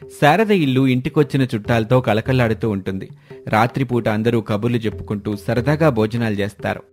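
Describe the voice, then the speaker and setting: A man's voice: medium pitch, darkish tone. A man reading a scripted story as its narrator, with music behind him.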